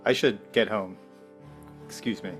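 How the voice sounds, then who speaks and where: A man's voice: on the low side, fairly even intonation, unhurried, lightly acted. A man playing a shaken, hesitant guilty man. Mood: anxious, pleading. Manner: hesitant, stammering. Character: shaken, guilt-ridden man cornered by his questioner. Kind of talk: dramatic script table read. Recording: music playing behind the voice.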